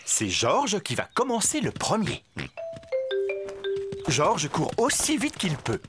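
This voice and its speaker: A woman's voice: mid-range voice, huge pitch swings, takes it slowly. A woman narrating a French children's cartoon with a lilting storybook delivery. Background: background music.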